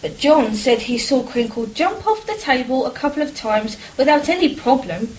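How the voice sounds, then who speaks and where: An actress plays a genteel English married woman in a period radio drama. She is high-pitched, homely and polite, chatting away over a faint wash of noise. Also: expressive, moderate pace, darkish tone, low-quality recording. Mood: wry.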